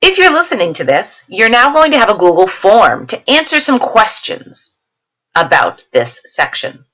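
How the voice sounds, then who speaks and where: A woman's voice: medium pitch, big pitch swings, moderate pace, a bit bright, poor audio. A woman teacher reading a science lesson aloud, explaining it to students and checking in with questions.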